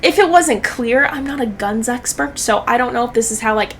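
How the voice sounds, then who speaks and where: A young woman with a high voice reacts casually to videos. She jokes and swears freely in animated slang. There is some noise behind her. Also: brisk. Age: young adult. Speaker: YouTube commentator.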